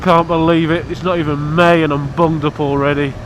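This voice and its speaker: A man's voice: a little high, level tone, brisk, slight background noise. Bunged-up British man chatting about his bike. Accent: British English. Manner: blunt, cheeky, rambling. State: congested from hay fever. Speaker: motorcycle vlogger. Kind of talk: casual chat to camera. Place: outdoors by his motorbike.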